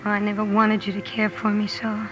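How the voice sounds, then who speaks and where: A woman's voice: medium pitch, completely flat delivery, fairly quick, highs cut off, over music, lightly acted. An actress playing a headstrong, earnest young woman writer in a 1950s radio drama, with emphatic delivery. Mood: pained, earnest.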